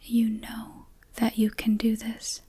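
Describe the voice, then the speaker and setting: Woman doing asmr guided meditation, super breathy whisper, soft n mellow, really slow w long gaps, soothing. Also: a little high, completely flat delivery.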